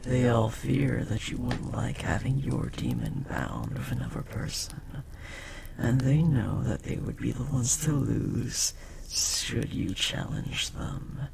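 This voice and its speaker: A man voicing a powerful, possessive demon bound to a master, speaking formally and theatrically in a breathy, gravelly voice in an audio roleplay. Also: medium pitch, wildly swooping pitch, takes it slowly, full character voice. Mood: proud, devoted. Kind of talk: dramatic audio roleplay monologue. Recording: clear background noise, ambience.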